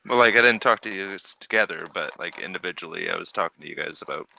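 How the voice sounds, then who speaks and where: A man's voice: deep voice, fast talker, creaky, pushed and bright, a little hiss or hum, rough recording. A man running a tabletop game, chatting casually with his players as game master.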